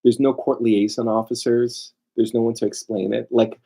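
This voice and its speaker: A man's voice: medium pitch, little pitch movement, moderate pace, creaky, dark tone. A man giving an informal lecture as a lawyer, emphatic and digressive, often throwing rhetorical questions at his listeners. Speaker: lawyer presenting a seminar.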